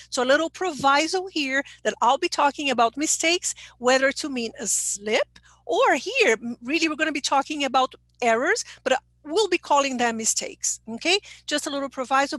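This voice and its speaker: High-voiced woman teacher trainer. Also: fairly bright tone, no room sound. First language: Portuguese. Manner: explanatory, checks in with okay. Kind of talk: online presentation.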